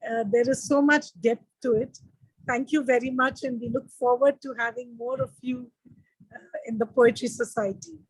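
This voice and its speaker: A woman giving a halting vote of thanks on a video call, with many fillers. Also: on the high side, fairly even intonation, husky, no echo, poor audio.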